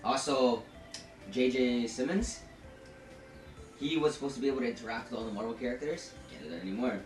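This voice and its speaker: A man's voice: medium pitch, a little fast. Echoey, distant male podcast co-host, casual. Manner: informal, slangy, sweary. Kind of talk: casual chat about films and pop culture. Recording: echoey room, distant mic, music behind. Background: music.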